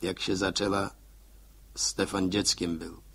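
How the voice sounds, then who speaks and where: An actor playing a wistful middle-aged village father in a 1960s radio drama, musing haltingly about his memories. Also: sits low, little pitch movement, creaky, a bit bright, breathy edge, heavily acted. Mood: wistful, brooding. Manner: halting, reflective, musing to himself.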